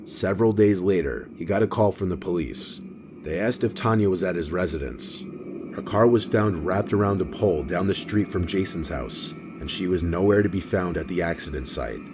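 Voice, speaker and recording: A man reading a scripted documentary narration in a steady, even storytelling manner. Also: sits low, normal speed. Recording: voice-over with clear music behind.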